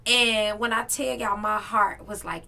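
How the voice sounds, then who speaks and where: A young woman co-hosting a podcast, telling personal stories in an animated, chatty way and talking straight to listeners. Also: medium pitch, unhurried, vocal fry. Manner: animated, laughing, addresses listeners directly. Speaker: podcast co-host. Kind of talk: casual storytelling chat.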